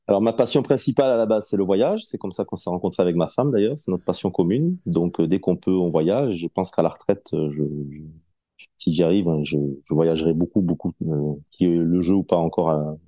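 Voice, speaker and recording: A man in his forties, a podcast guest chatting casually, relaxed and hesitant with many fillers and restarts. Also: deep voice, brisk, dry recording, studio quality. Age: 40s. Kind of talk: casual interview chat.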